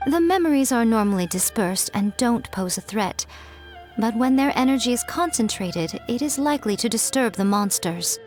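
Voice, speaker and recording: A woman playing a calm, knowing shrine priestess in an anime game, speaking in a measured, gently teasing and composed way. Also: on the high side, lightly acted. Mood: calm instruction. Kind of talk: scripted anime game voice acting. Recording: music behind the voice.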